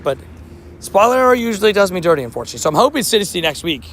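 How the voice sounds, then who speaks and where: A man live-streaming outdoors, chatting casually with viewers and answering their chat messages, with a slightly hoarse voice. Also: on the high side, a bit bright, slight background noise. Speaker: livestream host. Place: outdoors by a street with traffic.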